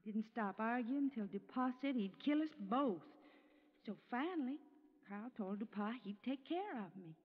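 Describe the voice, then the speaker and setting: A woman's voice: on the high side, expressive, normal speed. Tinny, echoing frightened young woman. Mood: anxious, explaining. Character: frightened, plain-spoken rural young woman in hiding. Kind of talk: 1950s radio drama acting. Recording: tinny, echoing vintage radio recording.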